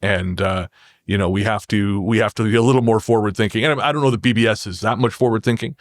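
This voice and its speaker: A man's voice: lowish voice, steady tone, fast talker, dry recording, studio quality. A man talking conversationally as a podcast guest, often repeating and rephrasing as he goes. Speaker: podcast guest, horror fiction writer. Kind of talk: conversational interview talk.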